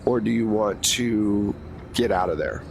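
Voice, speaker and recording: Casual game master, noisy recording. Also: male voice, lowish voice, a little slow, vocal fry. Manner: casual, loose narration with fillers. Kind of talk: running a tabletop horror game. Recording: noisy background hiss.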